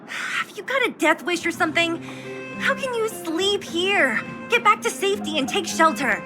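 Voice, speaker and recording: High-voiced, bossy young heroine. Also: female voice, lively intonation, moderate pace, music in the background. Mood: scolding. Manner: direct, commanding. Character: brisk bossy young woman, tough but caring. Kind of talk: video game voice acting.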